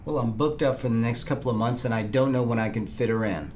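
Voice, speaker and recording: A man's voice: mid-range voice, fast talker, darkish tone, slight background noise, natural voice. A man playing a pushy, frustrated surgeon in a conversational training role-play. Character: pushy, frustrated male surgeon impatient with delays. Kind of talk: role-played training dialogue.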